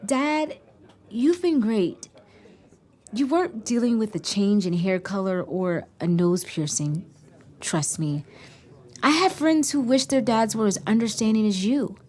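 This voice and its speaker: A woman's voice: medium pitch, measured pace, a little mellow, lightly acted. A woman playing an earnest, self-doubting teenage daughter in naturalistic audio drama dialogue. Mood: hesitant, grateful.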